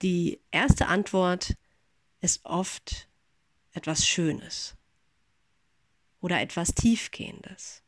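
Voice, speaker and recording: A middle-aged woman pastor giving a calm spoken devotional, her voice a little congested as she recovers from an infection. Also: fairly low pitch, very slow, long pauses, dry recording, clean studio sound. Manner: calm, gentle, reflective address to listeners.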